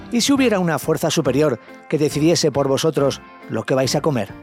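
A man's voice: fairly high pitch, a little mellow. A man with a Castilian Spanish accent reading scripted narration as a podcast presenter.

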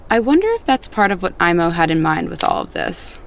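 An actress playing a curious, thoughtful woman with a gentle, probing manner, in naturalistic audio drama dialogue. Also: fairly low pitch, sing-song, brisk, gravelly. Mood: gently probing. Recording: faint outdoor ambient noise.

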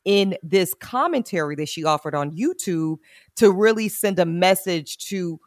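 A woman attorney hosting a podcast, chatting frankly and with slang, laughing often, with a big booming voice. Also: animated, unhurried, a little mellow, no room sound, clean studio sound. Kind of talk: casual podcast chat.

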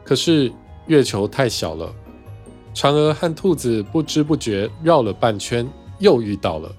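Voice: medium pitch, animated, measured pace, a little mellow, faint music behind. A storyteller narrating a scripted children's tale in simple storybook phrasing.

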